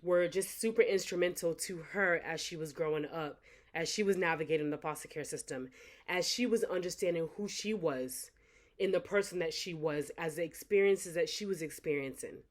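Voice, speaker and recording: A woman's voice: lowish voice, moderate pace. A young adult woman, an author, speaking earnestly and reflectively to her supporters in a motivational talk, often repeating phrases for emphasis. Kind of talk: motivational direct-to-camera talk.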